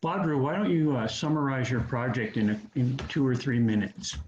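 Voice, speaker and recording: A man's voice: mid-range voice, expressive, moderate pace, darkish tone. A man casually moderating an online panel and handing over to speakers. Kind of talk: casual hosting. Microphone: video call. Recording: echoey, noisy, muffled call audio.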